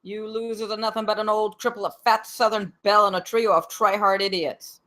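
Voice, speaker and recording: A woman's voice: medium pitch, flat delivery, fairly quick, a bit bright, close to an everyday voice. A woman at a live table read playing the mocking, menacing leader of a student mob. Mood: contemptuous.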